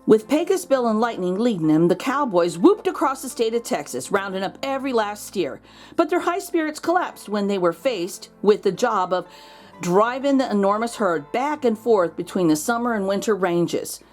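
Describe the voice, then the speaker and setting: A woman reading a children's picture book aloud as host, expressive, drawing out words for drama. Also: mid-range voice, normal speed, faint music behind. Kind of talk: animated storybook read-aloud for children.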